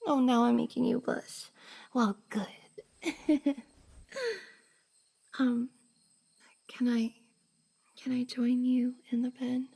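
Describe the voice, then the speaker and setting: Woman acting a high-pitched, shy, whispery young woman. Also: flat delivery, slow pace, long pauses, dark tone, full character voice. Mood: affectionate, playful. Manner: breathy, hesitant, sighing, often dropping to a whisper. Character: shy, flustered young woman cosplayer confessing to best friend. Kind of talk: intimate roleplay ASMR audio drama.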